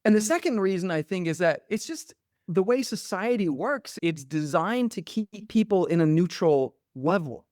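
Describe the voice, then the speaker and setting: A man's voice: high voice, lively intonation, normal speed, a little mellow, no echo, studio quality. A middle-aged man from Denmark, a podcast guest and coach speaking reflectively and animatedly, with lots of "like" fillers. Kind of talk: conversational interview.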